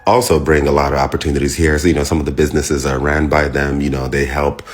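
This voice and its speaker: A man's voice: very deep voice, level tone, fast talker. A young man from Nigeria with a mix of Nigerian and American accent, chatting casually to his viewers and asking their opinion. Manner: chatty, repeats shout-outs, laughs and teases near the end. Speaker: vlogger asking viewers a question. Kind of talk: casual direct-to-camera talk.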